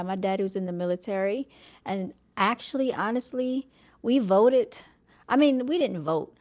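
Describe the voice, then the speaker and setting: A woman's voice: mid-range voice, a little mellow, dull audio. A woman from North Carolina with a Southern accent, chatting casually to her viewers and reading their comments, with lots of fillers and "y'all.". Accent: Southern American. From North Carolina, United States.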